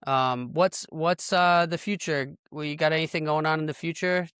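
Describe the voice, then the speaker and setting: A man's voice: a little high, fairly even intonation, normal speed, fairly bright tone, clean tone, no echo, clean studio sound. A middle-aged American man hosting a casual podcast chat in an informal, slangy way. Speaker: podcast host.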